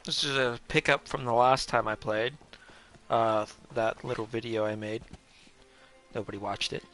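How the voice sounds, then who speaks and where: A man's voice: medium pitch, fairly even intonation, normal speed, fairly bright tone. A man casually chatting while livestreaming a game, rambling, mocking himself and swearing offhandedly. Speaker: game streamer.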